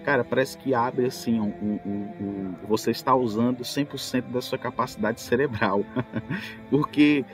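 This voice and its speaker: Brazilian guy on a podcast, mid-range voice, loud music behind him, casual storytelling. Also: medium pitch, moderate pace. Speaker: tabletop role-player, podcast speaker.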